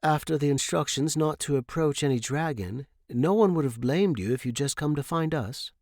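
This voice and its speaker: A male narrator puts on a brisk, caring woman who looks after dragons in a storybook tale. The voice is lifted above the narration, firm and gently scolding, in a dry recording. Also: a little high, no echo, voice pushed up, natural voice. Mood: approving.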